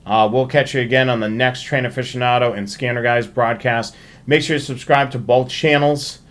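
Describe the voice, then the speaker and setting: A man hosting a livestream, talking informally through announcements in a halting way and repeating himself. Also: medium pitch, fairly even intonation, a bit bright. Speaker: livestream host. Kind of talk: casual livestream sign-off and announcements.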